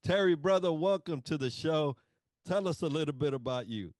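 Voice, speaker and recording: A man's voice: fairly high pitch, animated, normal speed, a little mellow, dry recording. A middle-aged man hosting a podcast interview, giving formal introductions and reading prepared questions. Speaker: podcast host.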